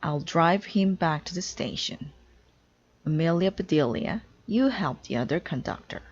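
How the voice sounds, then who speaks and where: A woman reading a children's storybook, voicing a flustered, bossy married lady who scolds briskly. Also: low voice, expressive, husky, muffled recording, exaggerated voice. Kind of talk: children's storybook read-aloud.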